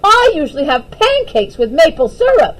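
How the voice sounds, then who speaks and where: A high-pitched, whiny little girl character from a storybook, acted by a woman reading aloud. She is fussy and huffy, thinks herself special and frightens easily, with a very lively swing to her voice. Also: moderate pace. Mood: bored, huffy. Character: fussy, boastful young female character, overly special, easily scared.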